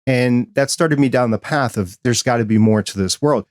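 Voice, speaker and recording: Midwestern man, podcast host; earnest, steady delivery, dry close recording. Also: mid-range voice, normal speed, no echo. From American Midwest. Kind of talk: casual interview chat.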